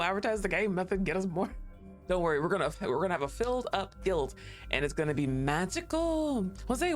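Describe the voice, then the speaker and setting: A male streamer chatting casually to his viewers, excitable, laughing often and swearing freely. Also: fairly high pitch. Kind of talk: casual livestream chat. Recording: faint music behind the voice.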